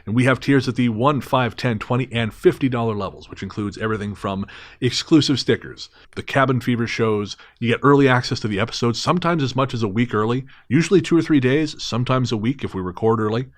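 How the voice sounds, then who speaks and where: Man, mid-thirties, podcast host, casual chat, earnest, wryly joking, medium pitch. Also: fast.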